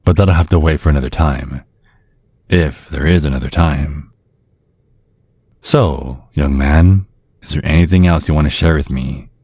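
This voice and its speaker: A man acting a menacing, courteous old vampire father in an ASMR roleplay, speaking in measured, slyly threatening tones. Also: very deep voice, a little mellow, breathy edge, dry recording, exaggerated voice. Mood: smug, courteous. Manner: measured, veiled threats under courteous small talk. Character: menacing ancient vampire father, polite, sly and controlling.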